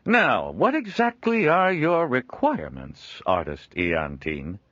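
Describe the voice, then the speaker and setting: A booming, jolly middle-aged merchant, as a man puts him on, pitched up and loud with wide, bouncing swings in his voice. His talk is dry and dull in tone, close and unroomy. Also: huge pitch swings, slow, no echo, exaggerated voice. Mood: jovial. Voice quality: hearty, booming, laughs often. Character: jovial middle-aged male traveling trader.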